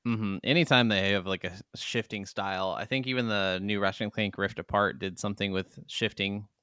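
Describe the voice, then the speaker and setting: A man's voice: on the low side, steady tone, fairly quick, bright, forceful tone, clear, resonant tone, no echo, studio quality. A man co-hosting a podcast in an enthusiastic, emphatic, casual way, swearing freely as he talks. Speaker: podcast co-host. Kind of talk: casual video game discussion.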